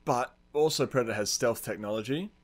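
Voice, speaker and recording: A man with an ordinary mid-pitched voice talks as a podcast host in a casual, argumentative style. He is blunt and swears freely as he makes his case. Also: brisk. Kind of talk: casual chat, debating film characters.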